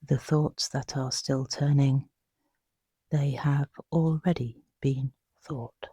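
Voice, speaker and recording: A meditation guide speaks very slowly in a mellow, breathy hush. The delivery is soothing and unhurried, with long pauses between phrases. The sound is dry and close, made for guiding someone to sleep. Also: medium pitch, steady tone, no room sound.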